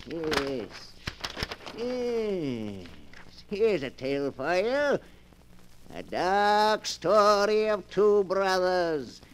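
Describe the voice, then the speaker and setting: An actor playing a sinister old storyteller in 1940s radio horror, with a drawn-out, theatrical, gloating delivery. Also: male voice, sits high. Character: sinister old keeper of a book of dark tales. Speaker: storyteller host. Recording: noisy 1940s radio recording.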